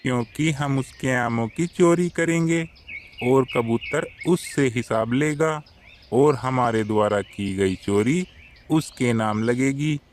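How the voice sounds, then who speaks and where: A man's voice: mid-range voice, takes it slowly, slight background noise, lightly acted. A man voicing a sly, scheming male crow thief in a cartoon story, with a conspiratorial manner. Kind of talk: Hindi cartoon moral story voice acting.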